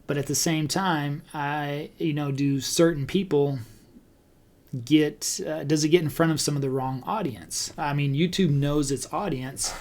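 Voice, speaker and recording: A man talking casually as a podcast host, conversational and thinking out loud as he goes. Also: fairly high pitch, fairly even intonation, unhurried. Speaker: podcast host and real estate agent. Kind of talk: casual talk about online video marketing.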